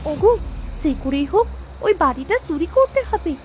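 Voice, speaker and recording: A woman's voice: sits high, very animated, normal speed, a little mellow, a little hiss or hum. A woman playing a greedy, scheming village wife in a fairy-tale cartoon, exclaiming theatrically. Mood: eager, greedy.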